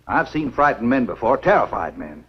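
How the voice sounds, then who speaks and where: Husky, expressive American doctor. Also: male voice, mid-range voice, normal speed. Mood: grave, insistent. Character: grave, reasoning male small-town doctor in a horror film. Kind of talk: 1950s film acting. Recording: dull 1950s film soundtrack.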